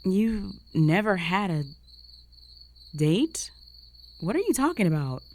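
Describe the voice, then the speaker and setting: A woman's voice: medium pitch, very animated, slow, darkish tone. A young woman playing a jealous, flustered roommate with a secret crush in an intimate romantic audio roleplay, speaking in a hesitant, conversational way. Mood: disbelieving, flustered. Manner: conversational, hesitant, sighing, emotionally exposed.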